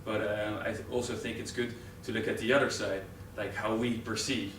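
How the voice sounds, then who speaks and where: Young Dutch man presenting live, halting and filler-heavy; recorded from far back in a roomy, noisy training room. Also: on the low side, little pitch movement. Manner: hesitant, many fillers and restarts. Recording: roomy, distant camera audio with noise.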